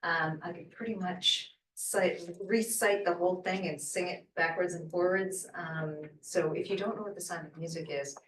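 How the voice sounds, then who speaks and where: A woman's voice: fairly low pitch, steady tone, moderate pace, hardly any pauses, a crowd in the background. A woman from Portugal, a French professor, introducing herself at an info session in a chatty, hesitant way with fillers. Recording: echoey room, distant mic.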